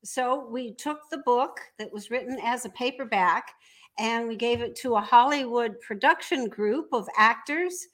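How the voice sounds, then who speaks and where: A woman's voice: a little high, a little slow, fairly bright tone. A woman speaking as an entrepreneur guest, explaining her work conversationally in an interview.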